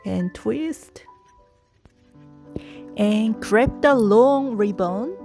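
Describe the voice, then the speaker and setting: A woman's voice: on the high side, animated, very slow, gravelly. A woman with a thick Korean accent, hosting a craft tutorial and giving short step-by-step directions in simple non-native English. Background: soft piano music.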